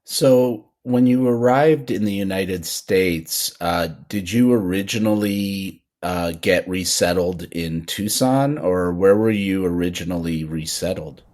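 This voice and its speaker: A host with a very low voice who speaks slowly and unhurriedly. He asks long, curious questions, feeling his way toward each point. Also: darkish tone. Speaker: podcast host. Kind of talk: interview conversation.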